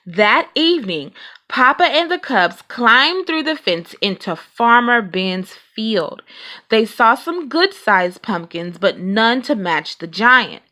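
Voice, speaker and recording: Bright-voiced woman reading a children's story. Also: a little high, expressive, takes it slowly. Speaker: storybook reader. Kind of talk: expressive children's read-aloud narration.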